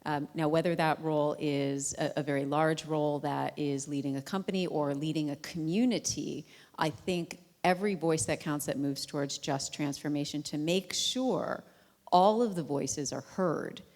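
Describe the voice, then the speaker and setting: A woman's voice: low-pitched, moderate pace. An American woman speaking as a panelist in a panel discussion, emphatic and outspoken. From United States. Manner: emphatic, self-described loud voice. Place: conference hall on stage. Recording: reverberant conference hall.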